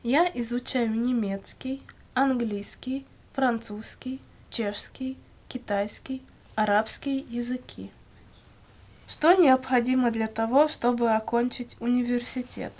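A woman reading scripted language-course questions and answers in a measured, carefully enunciated way. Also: fairly high pitch, fairly even intonation, a little mellow. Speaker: language lesson reader.

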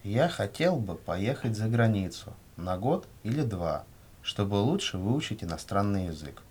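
A man reading scripted language-course answers in a measured, carefully enunciated way. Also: fairly low pitch, sing-song, darkish tone, husky. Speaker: language lesson reader.

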